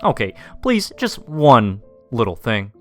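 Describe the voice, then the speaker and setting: A man voicing a cheerful animatronic mole who hosts a kids' stage show in a peppy, booming way with a Mexican-American accent. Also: big pitch swings, slow pace, soft background music. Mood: coaxing.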